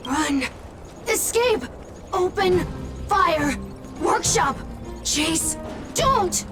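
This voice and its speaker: A woman's voice: very high voice, lively intonation, heavily acted. A woman playing a small frightened, malfunctioning scrap-metal robot in a video game, pleading in fragmented single words. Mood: panicked. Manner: halting, fragmented single-word speech. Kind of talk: video game character acting. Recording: loud music under the voice.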